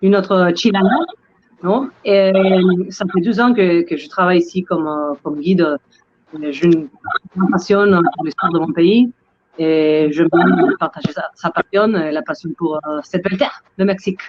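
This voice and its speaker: High-voiced man, lo-fi call. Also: moderate pace. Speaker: tour guide. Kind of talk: casual self-introduction. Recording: dull lo-fi call audio.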